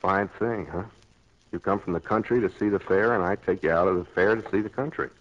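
Smitten bachelor, low voice, wry, self-doubting, tender, 1940s radio drama, dull vintage sound. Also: male voice, fairly quick. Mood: wry, hesitant. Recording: muffled 1940s radio broadcast, music behind.

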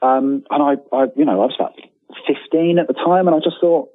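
A man's voice: a little high, brisk, no echo. A British man in his forties, a guest author chatting in a hesitant, rambling, self-deprecating way over a remote call. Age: 40s. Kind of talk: casual interview conversation. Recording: thin phone-like call, dry.

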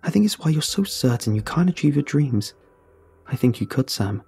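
A calm, cryptic young Eagle Scout speaks evenly and earnestly in a spooky storybook tale, as a male narrator puts him on. His voice sits low and gravelly, with a husky edge, and faint music plays underneath. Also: normal speed, full character voice. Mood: sincere.

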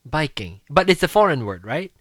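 A man co-hosts with a teasing, animated manner and breaks into laughter. His pitch swings widely and his voice sounds bright. The sound is dry and close. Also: normal speed, no room sound. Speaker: podcast co-host. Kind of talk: casual chat.

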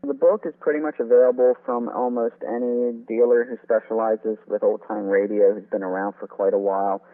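Wanted: male interview guest, deeper voice, explanatory author's manner, thin phone-quality sound. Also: little pitch movement, brisk. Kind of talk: informative interview talk. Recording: tinny phone-like line.

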